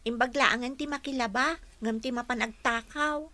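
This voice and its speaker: A woman's voice: a little high, moderate pace, sharp tone, dry recording. A woman playing a poor, dutiful young schoolgirl in a radio drama, earnest and polite. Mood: hurt, defensive. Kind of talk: Filipino radio drama acting.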